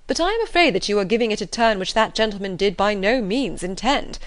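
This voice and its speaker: Woman voicing a good-natured young gentleman, lively, cheerful, jumpy pitch, dry read. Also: fairly quick, no echo, close to an everyday voice.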